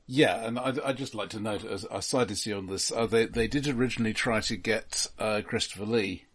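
A middle-aged man from the UK with a husky, rough-edged voice and a British accent. He discusses films casually as a podcast co-host, drily and haltingly, doubling back on his words. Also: fairly low pitch. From United Kingdom.

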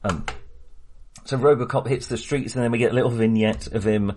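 A man's voice: medium pitch, fairly even intonation, fairly quick, darkish tone, slight background noise. A man co-hosting a podcast, chatting about a film in a rambling way, often restarting and laughing as he talks. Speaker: podcast co-host. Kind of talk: casual film discussion.

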